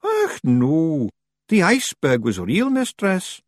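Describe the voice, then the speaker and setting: A man's voice: a little high, huge pitch swings, takes it slowly, no room sound, clean studio sound, pitched above the performer's own voice, full character voice. A man voicing a tiny, nervous, cheeky fairy man, wheedling and squirming. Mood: sheepish.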